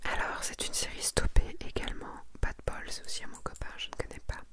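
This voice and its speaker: Whispering French-style ASMR woman, breathy and hushed. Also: brisk. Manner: soft, hushed, intimate delivery. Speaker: ASMR creator presenting a manga collection. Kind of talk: whispered ASMR, reading summaries with casual asides.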